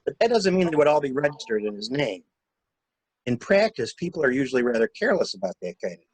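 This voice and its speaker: A man's voice: mid-range voice, very animated, husky, dry recording, low-quality recording. Man reading as a cool, scheming mystery-story traveler. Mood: brisk, calculating. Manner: brisk, instructing, wryly playful. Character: cool, scheming male traveler taking charge of a crime cover-up. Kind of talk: dramatic reading of a murder mystery.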